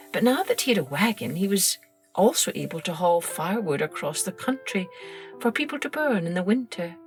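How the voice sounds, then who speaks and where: A woman narrating a children's story in an expressive, scripted storytelling style, like a podcast host. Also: lowish voice.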